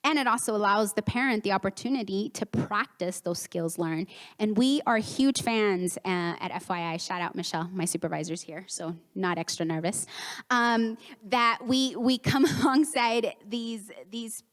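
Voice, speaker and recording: Woman in her 30s, native Spanish speaker, relaxed presenter who acts out quotes; reverberant hall. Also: mid-range voice, normal speed. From United States, Mexican home. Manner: warm conversational with acted-out quotes and laughs. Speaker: therapist giving a conference talk. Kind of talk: conference presentation. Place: conference hall.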